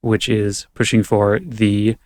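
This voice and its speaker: A man's voice: lowish voice, flat delivery, slow pace, a little mellow. A young man from California who grew up partly in Germany, a podcast guest explaining ideas in a thoughtful, conversational way with frequent fillers. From California, raised partly in Germany. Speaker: guest, AI safety advocate.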